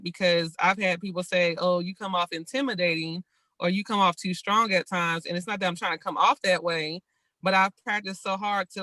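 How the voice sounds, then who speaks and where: A woman from California shares her view in a book club discussion on a video call. She is earnest and reflective. Her voice is bright, and the sound is dry and close. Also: fairly low pitch, dry recording. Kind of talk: casual group discussion.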